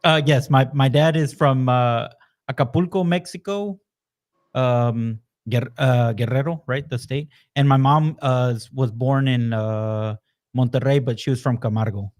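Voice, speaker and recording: A man's voice: medium pitch, unhurried, a little mellow. A man raised in Texas, hosting a podcast and asking loose, rambling questions full of "you know.". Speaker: podcast host. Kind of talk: casual podcast interview.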